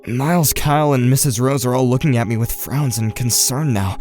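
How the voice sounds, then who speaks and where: A man's voice: medium pitch, brisk, darkish tone, soft background music, lightly acted. An actor playing an anxious, volatile teenage boy who narrates his own story with tense intensity in an audio drama. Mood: uneasy. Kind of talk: first-person dramatic narration.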